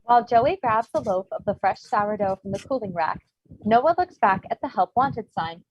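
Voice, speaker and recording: A woman's voice: fairly high pitch, animated, moderate pace, a little mellow, slight background noise. A woman giving scripted narration, reading stage directions for a comedy table read. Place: remote virtual table read. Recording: muffled video-call audio.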